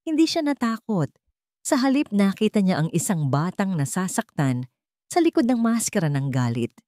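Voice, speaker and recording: A woman's voice: medium pitch, very animated, moderate pace, warm tone, dry recording. A woman with a Filipino accent narrating a scripted romance story.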